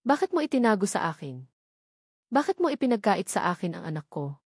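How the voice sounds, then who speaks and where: A woman's voice: mid-range voice, sing-song, brisk, darkish tone, husky, no echo, studio quality. Woman voicing an insistent, wounded, angry man. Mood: hurt, accusing. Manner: insistent, accusing. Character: angry, hurt, demanding adult man confronting former lover. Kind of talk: dramatic romance story narration.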